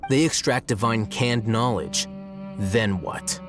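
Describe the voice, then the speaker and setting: An actor playing a shrewd young male scribe in a video game, calm, curt and condescending as an interrogator. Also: mid-range voice, takes it slowly, music in the background, close to an everyday voice. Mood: cool, pressing.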